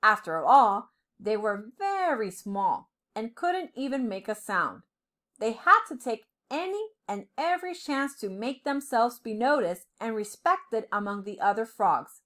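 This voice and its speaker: A woman reading a children's storybook aloud with dramatic, deliberate phrasing, as a storyteller. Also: on the high side, big pitch swings, a bit bright. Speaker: storyteller, professor.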